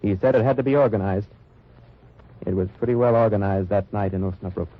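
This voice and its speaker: A man's voice: on the low side, fairly quick, lightly acted. A man acting as an American wartime undercover agent, telling his mission in an understated hard-boiled way in a 1950s radio drama. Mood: grim satisfaction. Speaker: actor and first-person narrator. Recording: muffled old radio recording, music behind.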